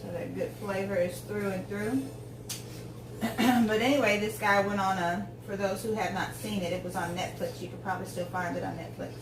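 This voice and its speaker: A woman's voice: medium pitch, steady tone, normal speed, reverberant, recorded from a distance, background noise. A woman in her forties chatting casually while she cooks at home, rambling through tangents with lots of ums. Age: 40s. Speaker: home cook vlogger. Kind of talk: casual chat while cooking. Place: home kitchen.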